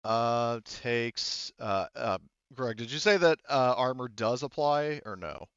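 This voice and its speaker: A man's voice: medium pitch, lively intonation, slow, a bit bright, clear, resonant tone, dry recording, lo-fi sound. A man acting as game master in a tabletop roleplaying game, talking informally and thinking aloud with casual swearing. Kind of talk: running an online tabletop roleplaying game, rules talk.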